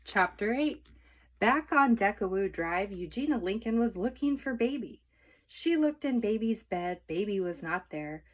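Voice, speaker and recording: Expressive woman reading a children's story. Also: mid-range voice, unhurried. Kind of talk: children's book read-aloud, scripted narration.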